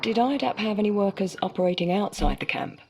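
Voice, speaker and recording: A woman's voice: medium pitch, quick pace, background noise, lightly acted. An actress playing a female interviewer who asks probing, businesslike questions in a video game.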